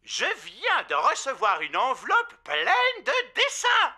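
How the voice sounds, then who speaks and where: A man playing a grand, excitable television show presenter in a children's cartoon. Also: extremely high pitch, unhurried, heavily acted.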